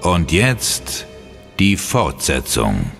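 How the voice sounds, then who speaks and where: Deep, portentous male horror announcer. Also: wildly swooping pitch, vocal fry, fairly bright tone, faint music behind. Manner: portentous, deliberate. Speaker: announcer and prologue narrator. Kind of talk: dramatic scripted horror narration.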